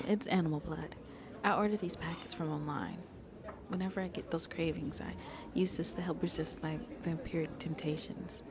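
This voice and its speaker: A woman playing a shy, gentle century-old vampire who seems in her twenties, soft-spoken and hesitant in an ASMR roleplay, often dropping to a near-whisper. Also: fairly low pitch. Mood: confiding. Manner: hesitant, apologetic, halting, breathy near-whispers.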